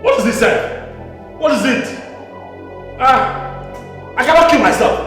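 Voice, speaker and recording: A man's voice: extremely high pitch, expressive, unhurried, fairly bright tone, full character voice. An actor playing a haunted, self-justifying man in a film drama, stammering and repeating himself in agitation, with a Nigerian accent. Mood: indignant, agitated. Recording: echoey, reverberant hall sound with music.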